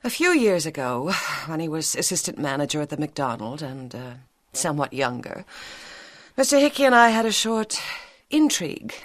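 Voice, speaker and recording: A worldly woman with a past in a radio crime drama. Her voice is on the low side, wry, cool and wistful. The sound is very dry. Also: very animated, moderate pace, no room sound.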